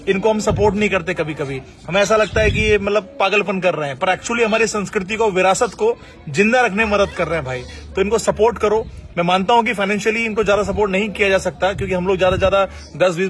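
Young male street vlogger, high-pitched, friendly, chatty, phone recording outdoors. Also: fairly bright tone. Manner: friendly, laughs often, earnest when addressing viewers. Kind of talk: casual street vlog chat.

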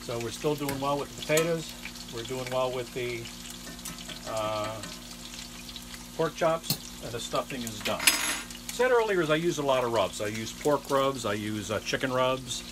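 An older man in his sixties hosts a casual cooking video from a small trailer kitchen. His voice sits at a medium pitch and he talks haltingly, pausing mid-sentence. Clear frying and kitchen noise runs behind him. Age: 60s. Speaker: home cook and cooking show host. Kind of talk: casual cooking demonstration talk.

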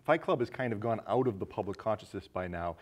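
American man, thirties, slightly low voice, easygoing film talk show host. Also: lively intonation, fast talker. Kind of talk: casual film discussion. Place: small indoor studio set with curtains.

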